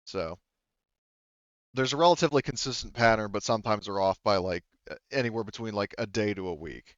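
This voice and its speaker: A man with a clear, fairly low voice acts as game master. He narrates casually and haltingly, rambling through explanations. The recording is close and dry but a little muffled. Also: fairly bright tone, no echo.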